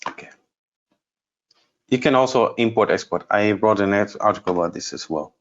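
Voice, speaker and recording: A man giving an unscripted software demonstration as a trainer, explaining step by step and thinking aloud in a halting way. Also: fairly low pitch, highs cut off. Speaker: trainer presenting a product course.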